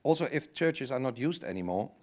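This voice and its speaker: A man's voice: medium pitch, animated, brisk. A man speaking on a conference panel, reflective and thinking aloud in loose, searching phrases. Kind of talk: conversational panel discussion.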